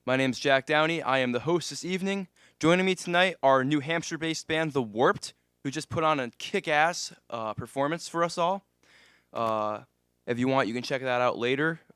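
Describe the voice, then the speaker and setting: A man's voice: fairly high pitch, moderate pace, a bit bright, clean tone, dry recording, clean studio sound. A man in his twenties hosting a live podcast interview at a music venue, laid-back with frequent fillers. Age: 20s. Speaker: podcast host.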